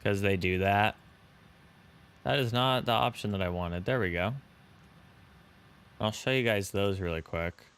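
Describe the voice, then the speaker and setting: A man's voice: fairly low pitch, measured pace, pauses often, a bit bright, smooth. A young man streaming a flight simulator, chatting casually with viewers and thanking subscribers. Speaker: live streamer. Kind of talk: casual live-stream chat while flying a simulator.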